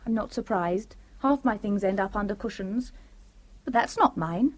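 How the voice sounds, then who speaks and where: A woman's voice: mid-range voice, normal speed, natural voice. An actress playing a chatty, scatterbrained woman friend in a radio play. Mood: breezy. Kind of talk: 1970s radio drama acting.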